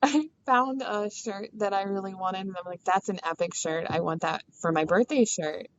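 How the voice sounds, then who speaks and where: A woman's voice: medium pitch, normal speed, dull audio, slight background noise. A woman in her thirties chatting as a guest, self-mocking and chatty, often laughing through her words. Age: 30s. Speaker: caller, guest. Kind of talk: casual chat.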